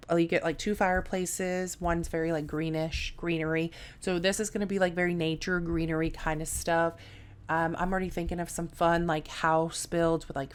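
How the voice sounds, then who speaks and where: A woman's voice: low-pitched, smooth. A woman giving a casual, unscripted video game review, rambling with lots of filler words and repeated phrases. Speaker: gaming video creator, reviewer. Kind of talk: casual unscripted review commentary over gameplay.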